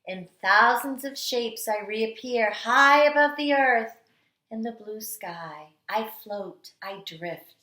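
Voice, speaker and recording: Female kindergarten teacher for picture-book read-aloud: bright, slow, expressive and dramatic, recorded in a roomy, echoey space. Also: a little high. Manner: dramatic, deliberate delivery with strong swells and hushed endings.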